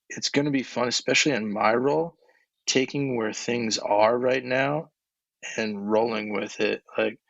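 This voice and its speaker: A young man, an ed tech coach, answering interview questions casually in a monotone voice, with many fillers. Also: lowish voice, vocal fry, no echo, lo-fi sound. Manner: relaxed, filler-heavy, laughs between thoughts.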